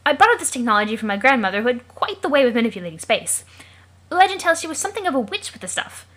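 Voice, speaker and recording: A woman's voice: high voice, sing-song, fast, a bit bright, exaggerated voice. A woman voicing a plucky, chummy young male adventurer with a put-on British accent and theatrical, old-fashioned wording. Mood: jaunty, confiding.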